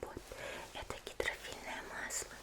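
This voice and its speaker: A woman playing a cheerful, caring young female warrior in an ASMR spa roleplay, whispering gently and chattily without hurry. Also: natural voice. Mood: gentle, explanatory. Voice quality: breathy unvoiced whisper. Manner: gentle, unhurried, chatty, soft hesitations.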